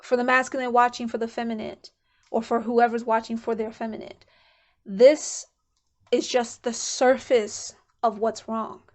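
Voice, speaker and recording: Woman, tarot reader, higher-pitched voice, chatty, candid, thinks aloud, talking to a camera mic. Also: flat delivery, normal speed, a little mellow. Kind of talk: casual pick-a-card reading to camera.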